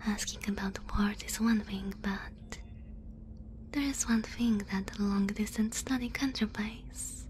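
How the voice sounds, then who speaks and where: A woman's voice: medium pitch, a little slow, darkish tone, slight background noise, natural voice. A woman playing a polite, clinical, curious female alien in an ASMR roleplay, whispering in a formal, careful way. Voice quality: breathy whisper.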